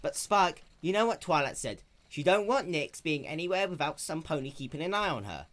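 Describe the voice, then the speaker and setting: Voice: mid-range voice, expressive, brisk, a bit bright, pitched above the performer's own voice, lightly acted. A performer voicing a plain-spoken, hardworking young country mare with an earnest, folksy rural drawl. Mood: worried.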